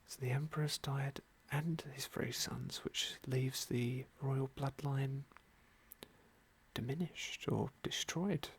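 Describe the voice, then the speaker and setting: A man giving gentle, musing ASMR roleplay commentary on a game in a soft, breathy whisper. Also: medium pitch, steady tone, slow. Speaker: game playthrough host.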